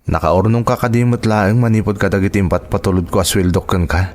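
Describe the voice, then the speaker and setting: A man's voice: on the low side, quick pace, a little mellow, natural voice. A man playing an anxious, apologetic husband and migrant worker in a radio drama, with an Ilocano accent and earnest, emotive delivery. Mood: downcast, earnest. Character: Robert, an anxious husband working overseas, apologetic.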